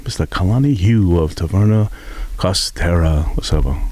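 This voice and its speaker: Relaxed halting man hosting a radio show. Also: sits low, no echo. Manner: relaxed, halting, many fillers and laughs. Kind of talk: casual talk show interview. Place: studio.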